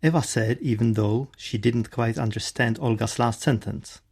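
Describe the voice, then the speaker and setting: Guy narrating a radio play, dark mellow voice, bit husky. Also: mid-range voice, moderate pace.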